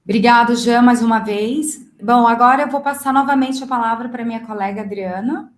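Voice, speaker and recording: A woman's voice: fairly high pitch, a little fast. Woman moderating, echoey room. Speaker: panel moderator. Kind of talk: formal event moderation. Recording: roomy, echoing sound.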